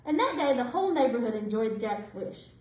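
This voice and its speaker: A woman reading a children's storybook aloud in an expressive, deliberate way. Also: fairly high pitch, highs cut off, slight background noise. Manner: animated, deliberate phrasing. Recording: roomy indoor echo.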